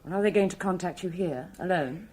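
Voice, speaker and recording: Casting: questioning, concerned wife of a detective, British accent, lowish husky voice, radio drama. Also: female voice, a little fast. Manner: questioning, gently anxious. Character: inquisitive, concerned detective's wife, mature woman.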